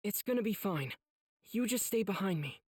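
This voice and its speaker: A man's voice: sits high, expressive, normal speed, darkish tone, echoey room. An actor playing an earnest, protective teenage demon slayer boy in an anime game, speaking with resolve and reassurance. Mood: alert wonder. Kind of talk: dubbed anime game acting.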